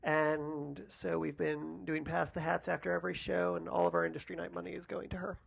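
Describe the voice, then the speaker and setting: Creaky-voiced woman in casual chat. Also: on the low side, little pitch movement, brisk. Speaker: podcast panelist, stage performer.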